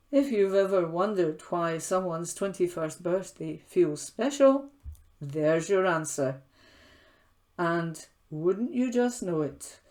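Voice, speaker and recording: A woman reads a written feature article aloud at a very unhurried pace. Her voice sits a little low, and she records as a volunteer newspaper reader from home. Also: darkish tone.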